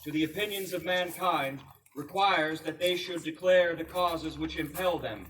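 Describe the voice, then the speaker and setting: A man's voice: a little high, moderate pace, fairly bright tone, distant mic, a little hiss or hum, natural voice, low-quality recording. A man playing a solemn, resolute colonial American statesman, reading a proclamation aloud as formal, stately public oratory with emphatic projection. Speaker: historical reenactor.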